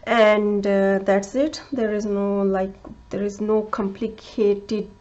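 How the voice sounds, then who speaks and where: A woman who speaks English as a second language gives a crochet tutorial, talking slowly and carefully, with many fillers and restarts, and counting stitches aloud. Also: medium pitch, flat delivery, darkish tone, lo-fi sound. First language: not English. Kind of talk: step-by-step craft tutorial.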